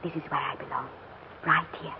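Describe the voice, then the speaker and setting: A woman's voice: sits low, steady tone, a little fast, muffled recording, lightly acted. An actress playing a brave, earnest, devoted young Frenchwoman in a 1940s radio drama. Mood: gentle resolve. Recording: thin, hissy old radio recording.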